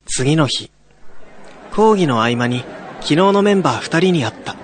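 Higher-pitched young male student storyteller, acted by a man. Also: lively intonation, normal speed, a little hiss or hum, lightly acted. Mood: somber, reflective. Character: young male college student recounting a summer ghost story. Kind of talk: radio drama narration with acted dialogue.

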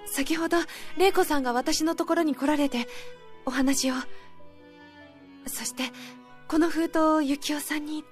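A woman's voice: high voice, steady tone, unhurried, pauses often, faint music behind. A woman playing an earnest, devoted woman in love, speaking politely in a radio drama. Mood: hesitant. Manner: polite, soft-hearted, earnest.

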